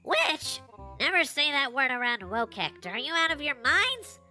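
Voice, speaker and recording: A woman's voice: sits high, very animated, measured pace, pushed and bright, faint music behind, exaggerated voice. A woman voicing a small male goblin watchman in an audio roleplay, proud, fretful and given to formal proclamations. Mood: nervous, wary.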